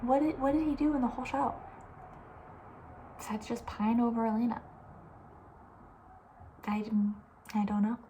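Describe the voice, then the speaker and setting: Soft-spoken woman in casual ASMR chat. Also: fairly high pitch, moderate pace, long pauses, slight background noise. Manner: rambling, conversational, playfully opinionated. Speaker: ASMR video host.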